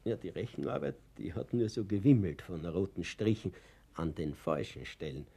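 A man's voice: deep voice, sing-song, moderate pace, gravelly, warm tone, breathy edge, exaggerated voice. An actor playing a naive, chatty older Bavarian man who boasts to a child in a radio play, rambling and confiding. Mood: sly pride. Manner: rambling, chuckling, confiding storyteller.

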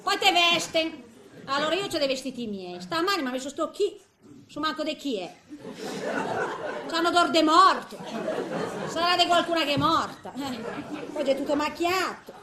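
A woman's voice: sits high, animated, normal speed, pauses often, a crowd in the background. An actress playing a cantankerous eighty-year-old woman who grumbles in colloquial, speech in a comic stage monologue. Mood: exasperated grumbling. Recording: roomy echo, faint background noise.

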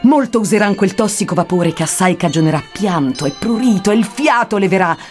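A woman's voice: medium pitch, expressive, brisk, close to an everyday voice. A woman playing a solemn poet guide who prophesies infernal punishments in declamatory, archaic rhymed verse. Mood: solemn, prophetic. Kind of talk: dramatic verse recitation. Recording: music behind the voice.

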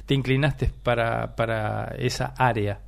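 A man's voice: medium pitch, fairly even intonation, a little slow, creaky. Argentine male radio host in his sixties who asks long, meandering questions. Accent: Argentine Spanish. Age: 60s. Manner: rambling, hesitant with many fillers. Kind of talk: warm radio interview.

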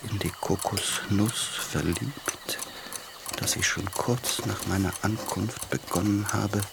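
A man's voice: fairly low pitch, fairly even intonation, takes it slowly, gravelly, background noise. An actor playing an effusive, self-absorbed German pianist in a radio play, savoring his words rapturously. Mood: rapturous, languid.

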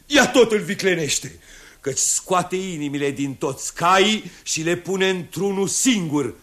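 A man's voice: sits high, a bit bright. An actor playing a father who tells his daughter a grim tale in a storytelling, teasing way, in a 1960s radio drama.

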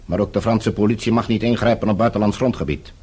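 Menacing villain, radio play, lowish husky voice, suave, smug, coolly threatening. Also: male voice, quick pace, a little mellow. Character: menacing, smug male foreign embassy villain.

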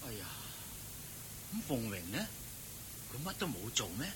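A man's voice: sing-song, normal speed, fairly bright tone. An actor playing a meek, muddled old father in a radio drama, with a Hong Kong Cantonese accent and a hesitant, stammering delivery. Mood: concerned, meek. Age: older. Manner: hesitant, stammering, repeats himself. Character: muddled, meek old father begging from his married daughter. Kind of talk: Chinese radio drama acting. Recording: noisy radio drama recording with rumble.

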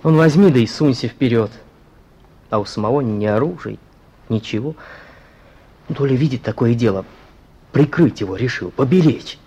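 An actor playing a plain-spoken, grim policeman with a husky voice, reporting colloquially in a Russian radio play. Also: medium pitch, animated, normal speed, long pauses, mellow, lo-fi sound. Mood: grim, bitter. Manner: colloquial, matter-of-fact, grim.

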